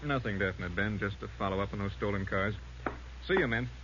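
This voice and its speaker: An actor playing a friendly, bantering police detective in an old radio drama. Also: male voice, fairly low pitch, fast talker, natural voice. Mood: friendly coaxing. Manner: breezy, bantering. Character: breezy, friendly male police detective, married pal. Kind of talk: 1940s-50s radio drama acting. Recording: muffled old radio recording, faint noise.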